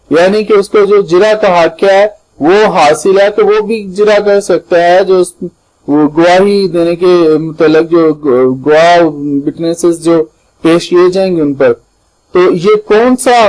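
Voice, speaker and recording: A man with a Pakistani accent presenting an informal slide-by-slide lesson, halting and repeating himself as he addresses his viewers. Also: high-pitched, a little mellow, rough recording. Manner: halting and repetitive, addresses viewers often, reads English legal terms slowly. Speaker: host of a legal explainer channel.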